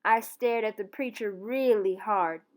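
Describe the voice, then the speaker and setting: A woman teacher reading a storybook aloud and talking to her students in an expressive, encouraging way. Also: mid-range voice, a little slow. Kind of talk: storybook read-aloud and lesson to camera.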